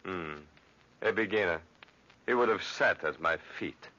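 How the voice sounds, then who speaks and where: An actor playing an arrogant, vain master architect in old radio drama style, pompous and haughty. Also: male voice, sits low, measured pace, sharp tone, heavily acted. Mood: disdainful. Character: arrogant, vain master architect, Italian, grandly self-admiring. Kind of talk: 1940s radio drama acting. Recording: dull lo-fi old radio recording.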